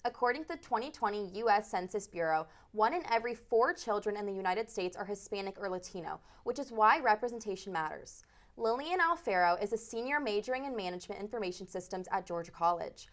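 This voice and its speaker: A woman anchors a student news show from a studio. She reads the script in a formal, crisp way at a mid pitch. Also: expressive, fast talker. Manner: crisp, formal read from teleprompter.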